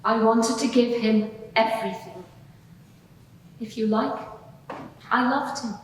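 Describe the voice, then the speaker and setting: A woman plays a devoted, earnest witness testifying in a courtroom drama. Her fairly high voice gives candid, hesitant answers. It is picked up from far off in a hall with long, heavy reverb. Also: slow pace, slight background noise. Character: earnest female witness, devoted follower of Jesus. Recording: echoey hall, distant microphone.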